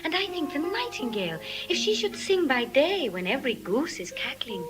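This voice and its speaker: Witty noble lady, classical verse drama, articulate, theatrical, animated intonation. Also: high-pitched, moderate pace, over music. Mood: musing. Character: Portia, witty gracious noble lady of the house. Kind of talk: classical Shakespearean stage acting.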